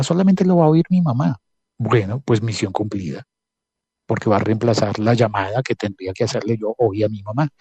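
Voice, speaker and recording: A man's voice: mid-range voice, darkish tone, slightly rough, dry recording. A former broadcaster speaking on a panel, hesitant and self-aware, telling stories about his podcast. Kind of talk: reflective panel talk.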